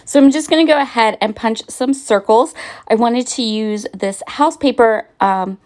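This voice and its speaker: A woman's voice: fairly high pitch, moderate pace, a little mellow. A middle-aged woman with a slightly froggy voice, chatting casually and laughing at herself while narrating her scrapbooking process. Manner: chatty, self-deprecating, laughs often. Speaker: scrapbooking channel host. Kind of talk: crafting process voice-over.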